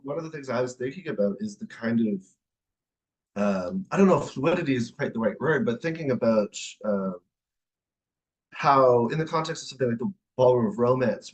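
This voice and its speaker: A man's voice: lowish voice, rough recording. A man on an academic panel talking informally, tentative and self-deprecating as he works through his ideas.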